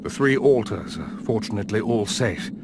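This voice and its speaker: An actor playing a grave fantasy war commander in a video game, speaking in a measured, formal and earnest way. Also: male voice, fairly low pitch, lively intonation, natural voice. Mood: grave, resolute. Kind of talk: dramatic video game cutscene acting. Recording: noisy game cutscene audio.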